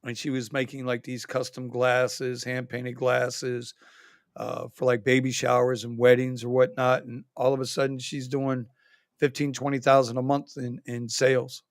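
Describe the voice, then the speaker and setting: A man hosting a casual livestream chat, reading viewer comments aloud in an animated way. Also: mid-range voice, normal speed, dry recording, clean studio sound. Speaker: livestream host.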